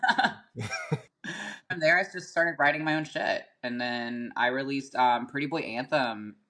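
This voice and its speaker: A man's voice: medium pitch, measured pace, pushed and bright. A man, a guest telling his story casually, full of "like" and "um". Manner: chatty, filler-heavy, frequent "like" and "um". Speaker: podcast guest, rapper.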